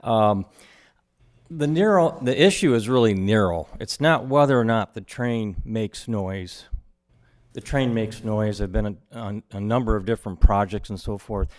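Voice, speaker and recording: Low-voiced man summarizing at a hearing. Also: measured pace, darkish tone, dry recording, clean studio sound. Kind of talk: public hearing testimony.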